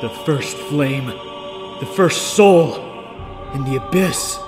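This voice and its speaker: A man's voice: fairly high pitch, takes it slowly. A man playing a proud, stern warrior god in a fantasy comic dub, with formal, haughty, dramatic speech. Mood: indignant. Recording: music clearly behind the voice.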